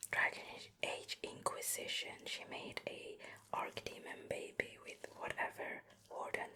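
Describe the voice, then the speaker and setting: A woman hosting an ASMR show and tell in a soft breathy whisper, lingering over her words, close to the microphone from ear to ear. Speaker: ASMR video host. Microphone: close ear-to-ear stereo microphone.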